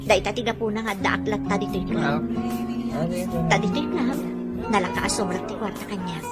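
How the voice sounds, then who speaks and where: A woman's voice: mid-range voice, moderate pace, natural voice. An actress playing an earnest young woman who works at a club, in a radio drama. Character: young woman working at a club, worried about her honor. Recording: radio drama with loud music bed.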